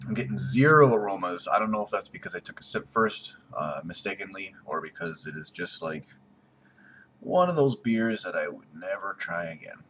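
A man's voice: deep voice, animated, moderate pace, slight background noise, poor audio. A man, reviewing a beer to a webcam at home in a blunt, sarcastic way. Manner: blunt, sarcastic, jumpy in loudness. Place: small room at home, indoors. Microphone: webcam at desk.

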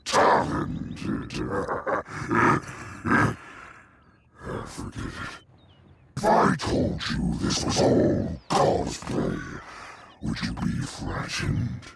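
An actor voicing a huge, menacing dark dragon for a video game, haughty and theatrical, with a growling, roaring delivery. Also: male voice, huge pitch swings, extremely slow, very noisy, exaggerated voice. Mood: mocking, playful. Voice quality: deep, gravelly growling roar. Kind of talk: video game character voice lines.

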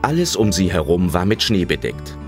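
Very deep, animated male storyteller. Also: moderate pace, music in the background. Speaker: narrator. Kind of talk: scripted story narration for children's audio drama.

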